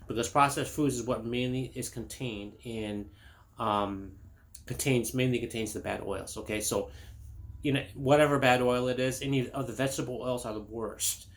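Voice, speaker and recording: A man's voice: mid-range voice, steady tone, normal speed. A middle-aged man from Indonesia, a chiropractor, giving a casual health talk to camera. He rambles with many fillers, restarts and personal stories.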